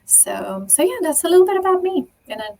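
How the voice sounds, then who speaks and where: A woman in her forties from Belgium with a French-speaking Belgian accent, a podcast guest chatting eagerly about her life, often pausing on fillers and restarting her sentences. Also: extremely high pitch, sing-song, vocal fry, mellow. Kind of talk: casual interview chat.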